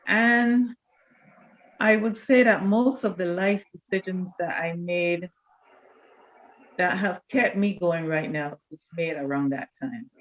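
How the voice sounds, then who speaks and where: An older woman, reminiscing in a measured, deliberate way as an interview guest. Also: fairly low pitch, highs cut off. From Barbados. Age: older, around 70. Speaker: church elder, interview guest.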